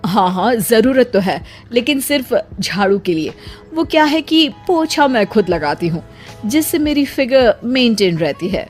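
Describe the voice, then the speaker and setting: A woman's voice: a little high, very animated, unhurried, soft background music. A woman voicing an elegant, vain and envious housewife in a cartoon story. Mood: proud, envious. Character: tall, elegant housewife, vain yet envious of a film star.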